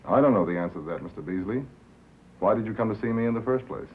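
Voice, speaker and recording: An actor playing a wry, worldly, cynical radio reporter with a terse, dry delivery in a 1950s film drama. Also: male voice, lowish voice, animated, quick pace, breathy edge. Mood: guarded. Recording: narrow-band, hissy 1950s film soundtrack.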